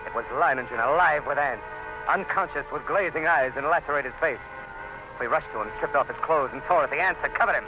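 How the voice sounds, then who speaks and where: A man's voice: on the high side, close to an everyday voice. Man as grave commissioner, muffled vintage radio. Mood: grave, relieved. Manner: grave, measured, dramatic. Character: sober male commissioner recounting a harrowing rescue. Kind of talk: old-time radio drama narration. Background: music.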